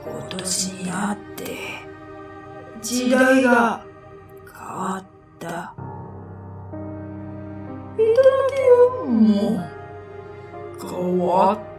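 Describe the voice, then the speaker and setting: A woman playing a solemn translucent dragon speaking in halting, drawn-out phrases in a radio drama. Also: mid-range voice, big pitch swings, faint music behind. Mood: solemn, oracular.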